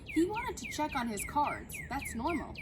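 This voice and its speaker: A woman voicing a thoughtful, sharp-minded schoolgirl detective in a storybook, earnestly reasoning things through. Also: sits high, huge pitch swings, normal speed, pitched above the performer's own voice. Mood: doubtful. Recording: clear background noise outdoors.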